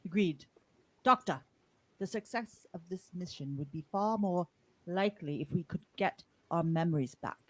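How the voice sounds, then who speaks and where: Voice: mid-range voice, expressive, normal speed, close to an everyday voice, poor audio. A performer playing a terse starship captain in a fan radio play, formal and authoritative. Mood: commanding.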